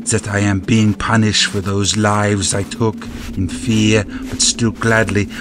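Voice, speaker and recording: An actor playing a war-weary soldier in bitter, anguished prayer, a grave and deliberate audio drama monologue. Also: male voice, lowish voice, natural voice. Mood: bleak, bitter. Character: despairing, war-weary male soldier praying bitterly for death. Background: music.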